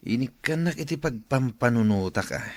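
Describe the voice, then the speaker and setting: A man playing a greedy, calculating eldest son in a radio drama, with an expressive delivery. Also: medium pitch, normal speed, dry recording. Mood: scheming.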